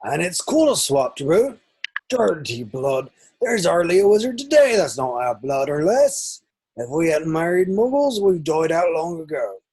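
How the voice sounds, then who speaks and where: A man's voice: a little high, sing-song, measured pace, darkish tone, husky, exaggerated voice, rough recording. A man playing a kindly, protective, plain-spoken gamekeeper in an amateur table read, reassuring and folksy.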